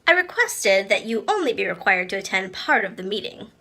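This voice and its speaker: Woman putting on a lively bright royal nursemaid. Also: mid-range voice, a little fast, exaggerated voice. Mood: teasing, insistent. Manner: formal, storybook courtly phrasing. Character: veiled lady caretaker, gently bossy, teasing, affectionate royal nursemaid.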